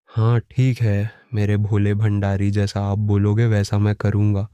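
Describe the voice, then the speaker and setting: A man voices a comic village pandit, greedy and lovestruck. His voice is dark and medium-pitched, slow in delivery, recorded dry. He comes across as cheeky. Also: monotone, smooth, no echo, lightly acted. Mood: devout, eager. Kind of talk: cartoon voice acting.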